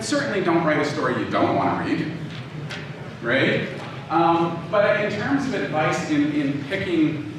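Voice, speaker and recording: Male speaker, informal lecture, halting conversational delivery, heavy room echo, distant noisy recording. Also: mid-range voice. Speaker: lecturer, audio drama writer and producer. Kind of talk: informal lecture with personal anecdotes. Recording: very echoey room, distant mic, clearly noisy.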